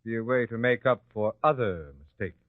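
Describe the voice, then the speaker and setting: An actor playing a scheming priest who persuades a governor slyly, in an old-time radio drama. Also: male voice, mid-range voice, normal speed, no room sound, lightly acted. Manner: sly, persuasive. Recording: tinny phone-like lo-fi recording.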